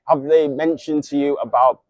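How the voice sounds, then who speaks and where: A man's voice: a little high, fairly even intonation, measured pace, darkish tone, no room sound, poor audio. A man asking questions at a press conference, stumbling and repeating words as he talks. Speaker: interviewer.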